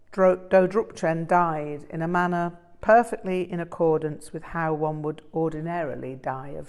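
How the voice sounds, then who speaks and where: Female audiobook narrator, lowish voice, very unhurried, deliberate storytelling.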